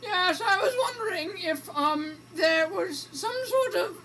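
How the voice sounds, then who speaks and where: A performer voicing a polite British male caller on a comedy radio show, halting and tentative. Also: very high-pitched, expressive, background noise, pitched above the performer's own voice, full character voice. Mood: hesitant, polite.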